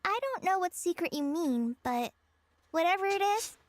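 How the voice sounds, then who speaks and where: Earnest young girl, very high voice. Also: lively intonation, moderate pace, dry recording. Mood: grateful. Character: gentle, earnest young girl with a painful past. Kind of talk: anime-style video game voice acting.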